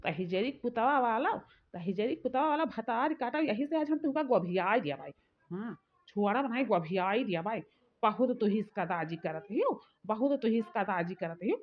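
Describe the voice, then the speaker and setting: A woman's voice: fairly high pitch, lively intonation, moderate pace. A woman playing a defiant, hot-tempered village sister-in-law in a cartoon comedy, grumbling in repeated phrases with an Awadhi accent. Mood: angry. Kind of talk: rustic cartoon comedy dialogue. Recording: dull lo-fi sound.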